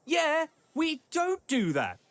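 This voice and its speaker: A man's voice: very high-pitched, huge pitch swings, slow pace, fairly bright tone, full character voice. A man playing a principled, anxious young companion in a comedy audio drama. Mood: objecting.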